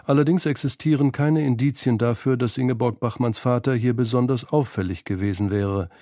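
A man narrating a scripted radio documentary in a measured, analytical manner, close to a studio microphone. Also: medium pitch, dark tone, no room sound. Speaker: feature narrator.

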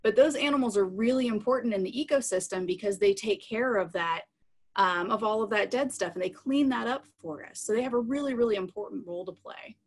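A woman's voice: medium pitch, fairly quick. A woman in her thirties giving an informal live science lesson, explaining in plain, simple words from a small room over a video call. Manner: explanatory, plain-spoken, addressing children simply. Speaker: science educator presenting live animals. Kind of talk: informal live educational talk with audience questions. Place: small room at home, indoors. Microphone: computer mic on a video call.

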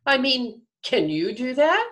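A woman's voice: high-pitched, big pitch swings, slow, a bit bright, pitched above the performer's own voice, exaggerated voice. A woman voicing a busy, annoyed goddess of springtime in a story for children, exasperated and incredulous.

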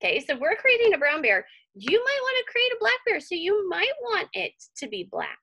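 Female craft teacher for children; lively swooping intonation with some creaky fry, informal, thinks aloud step by step. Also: very high voice, normal speed, lo-fi sound. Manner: chatty, thinking aloud, reassuring. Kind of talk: casual step-by-step craft tutorial, addressed to children. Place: indoor room at home.

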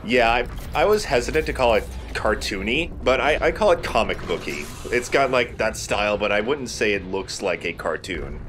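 A man's voice: on the low side, lively intonation, moderate pace, few pauses, fairly bright tone, faint music behind. A man hosting a Let's Play, giving casual, conversational explanations of a video game. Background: video game sounds.

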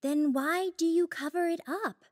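A woman's voice: very high voice, measured pace, a little mellow. A woman playing an eager, naive, gushing teenage monster girl in an audio drama. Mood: surprised, questioning.

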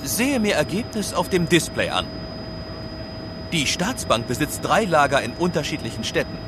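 An actor playing a brisk, obedient police team officer in a children's audio drama. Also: male voice, a little high, big pitch swings, noisy background. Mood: businesslike.

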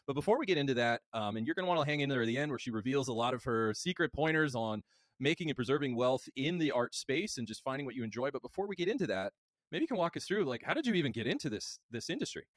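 A man in his forties hosting an interview podcast from a home office, giving upbeat, polished introductions into a studio mic on a boom arm. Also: sing-song, fast talker, no echo. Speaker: podcast host. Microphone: studio mic on boom arm, headphones.

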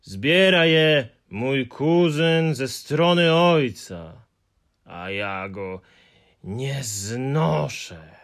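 A man's voice: medium pitch, animated, fairly bright tone, heavily acted. A man voicing a gloomy, fussy male Hemulen who drags out his mournful complaints in an audiobook. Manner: mournful, sighing, drawn-out complaints. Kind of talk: audiobook character voice.

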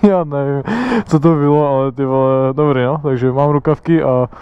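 A man's voice: mid-range voice, steady tone, smooth. A young man from the Czech Republic chatting casually while riding an electric motorbike, laughing often and swearing as he talks. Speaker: motovlogger. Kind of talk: casual helmet-cam motovlog chat. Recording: dull lo-fi sound.

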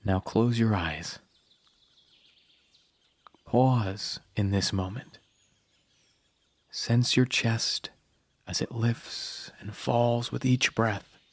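Man, guided meditation narration, unhurried pace, soothing, long pauses, dry sound. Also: mid-range voice, fairly even intonation, husky, no echo.

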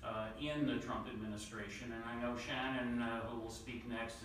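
A man from the New York City area giving panel remarks, talking quickly with lots of fillers and repeated words. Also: mid-range voice, pushed and bright, slight background noise. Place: large conference room. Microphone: table mic, recorded from the far side of the room. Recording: echoey room audio from far off.

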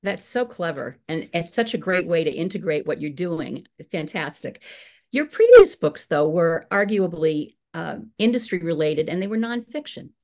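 A woman's voice: fairly low pitch, very animated, moderate pace, darkish tone. A woman in her sixties moderating a video call interview, affirming her guest and asking questions. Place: home room.

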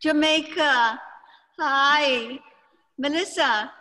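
A woman with a high, bright voice hosting an online class. She speaks slowly over a video call, calling on people one by one in a friendly way. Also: poor audio. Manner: friendly, calls on participants one by one. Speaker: session host.

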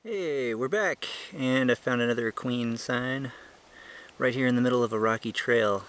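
A young man casually narrating a hiking vlog outdoors on a trail, explaining things in a relaxed, chatty way with many fillers, recorded on the handheld camera he films with. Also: mid-range voice, normal speed. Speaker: ant-keeping channel host. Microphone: handheld camera he is filming with.

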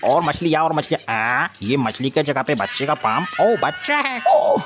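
Man putting on an excitable, silly cartoon creature. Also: on the high side, background noise. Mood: delighted, silly. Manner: exaggerated exclamations, drawn-out words. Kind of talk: improvised kids' cartoon voice-over.

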